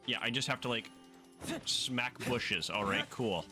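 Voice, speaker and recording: A man's voice: mid-range voice, unhurried, sharp tone. A man giving casual live gameplay commentary, rambling and thinking aloud. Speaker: game streamer. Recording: game music faintly behind.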